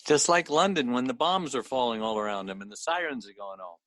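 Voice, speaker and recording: A man's voice: medium pitch, expressive, brisk, fairly bright tone, no echo. A man co-hosting a radio interview segment in a conversational style.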